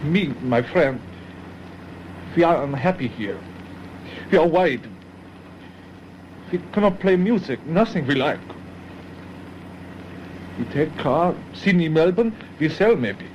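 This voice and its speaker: A dull, faintly noisy old radio drama recording of an immigrant killer. He confesses very slowly in halting, broken English with a foreign European accent. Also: a little high, animated, darkish tone. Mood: flat, unhappy. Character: young immigrant man wearily confessing to murder.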